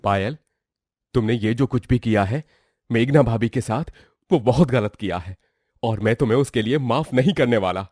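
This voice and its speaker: A man's voice: mid-range voice, moderate pace, a little mellow, dry recording, clean studio sound, lightly acted. A man voicing a disapproving young husband in a family moral story. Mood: reproachful. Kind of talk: Hindi moral story voice acting.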